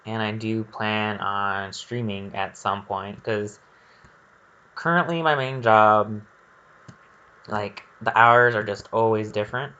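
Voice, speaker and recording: A man's voice: lowish voice, steady tone, slow pace, sharp tone, clear, resonant tone, dull audio. A man giving casual, rambling gameplay commentary, thinking aloud in a candid and hesitant way. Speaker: gaming video creator.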